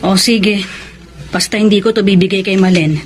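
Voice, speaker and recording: A woman's voice: mid-range voice, steady tone, moderate pace, a little hiss or hum, close to an everyday voice. An actress playing a caring, firm, motherly neighbor who minds a baby in a Filipino radio drama.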